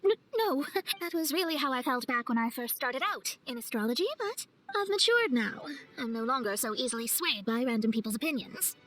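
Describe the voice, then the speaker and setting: A woman's voice: sits high, lively intonation, brisk, fairly bright tone, poor audio. A woman playing a proud, easily flustered young astrologer mage in a video game, self-assured and dramatic. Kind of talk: video game cutscene acting. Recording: clear music behind the voice.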